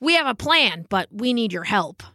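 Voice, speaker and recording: A woman's voice: a little high, very animated, normal speed, pushed and bright, no room sound, studio quality, full character voice. A woman voicing a humble, earnest old male dog in a storybook, speaking hesitantly and sincerely. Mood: contrite. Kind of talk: storybook character voice.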